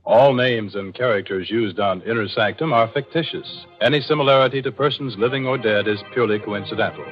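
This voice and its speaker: A man's voice: on the low side, a little fast. Old timey radio announcer guy, formal, music behind him, muffled recording. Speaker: network announcer.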